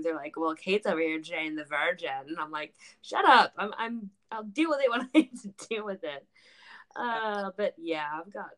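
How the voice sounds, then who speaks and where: Candid young woman with a lowish voice. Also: a bit bright. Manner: blunt, self-deprecating, swears freely. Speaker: podcast co-host. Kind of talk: casual, candid podcast chat.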